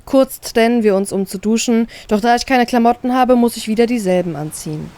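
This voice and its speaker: A woman reading a first-person story aloud as an expressive narrator. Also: a little high, brisk, no echo.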